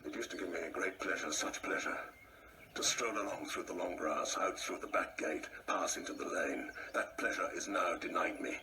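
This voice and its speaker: An actor playing a pompous, easily rattled older husband in a radio play, given to indignant muttering and repetition. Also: male voice, low-pitched, normal speed, sharp tone. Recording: noisy audio play recording.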